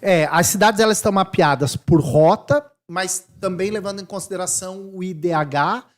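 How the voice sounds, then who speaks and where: Animated Brazilian man in his forties. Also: high voice, normal speed. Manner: animated, thinking aloud with restarts. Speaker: missionary guest. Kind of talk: casual interview chat.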